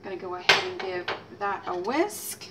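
A woman in her thirties, chatting casually as she demonstrates a recipe in her home kitchen, teasing herself and letting out lively exclamations. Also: mid-range voice, normal speed. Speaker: home cooking channel host. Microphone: fixed camera mic at a distance. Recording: distant camera mic with faint room noise.

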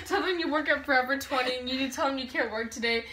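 Excitable, giggly female, high voice, casual coaching, noisy home recording. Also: racing. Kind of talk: casual chat, coaching a prank caller. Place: small furnished bedroom indoors.

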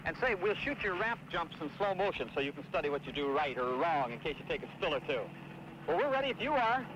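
A middle-aged man hosting a 1950s TV show, speaking in a brisk, upbeat announcer style outdoors by a harbor. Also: a little high, very animated. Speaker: TV host. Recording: dull 1950s TV audio with outdoor noise.

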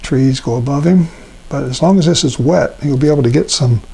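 Gravelly older man teaching painting. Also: mid-range voice, a little fast, rough recording. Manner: relaxed, thinking aloud with many ums. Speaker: painting instructor. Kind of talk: live painting demonstration. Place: art studio at a painting table.

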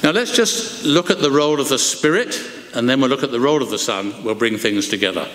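An older man preaches from a lectern microphone in a big wooden church, his mid-pitched voice carrying a long, ringing reverb. He speaks expressively, gesturing broadly and slowing down on the phrases he wants to land. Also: lively intonation, fairly bright tone. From England. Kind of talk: sermon. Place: large wooden church, indoors.

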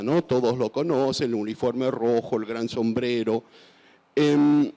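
A man in his sixties from Argentina, answering onstage interview questions with a handheld microphone, thoughtful and hesitant with many fillers and restarts. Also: mid-range voice. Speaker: author guest. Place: large festival stage. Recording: long hall reverb.

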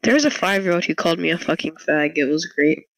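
A teenage boy with a squeaky high voice, a little sick, talking brashly and swearing in a casual group voice chat. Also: fairly bright tone, rough recording. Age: teens. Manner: brash, swearing, quick to joke. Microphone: headset or desk mic on a voice call.

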